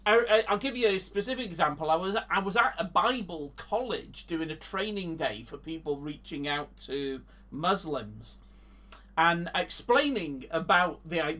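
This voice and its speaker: An earnest man with an English accent, a longtime Bible teacher, talking with halting restarts. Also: fairly high pitch, pushed and bright. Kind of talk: casual Bible teaching chat.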